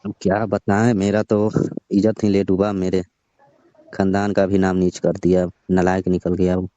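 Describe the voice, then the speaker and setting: Low, mellow father-in-law, acted by a man. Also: completely flat delivery, normal speed, dry recording, natural voice. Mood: troubled, ashamed. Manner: coaxing, reassuring. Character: placating, pride-bound middle-aged father-in-law. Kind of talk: staged phone-call drama in colloquial speech. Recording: dull, lo-fi dry call audio.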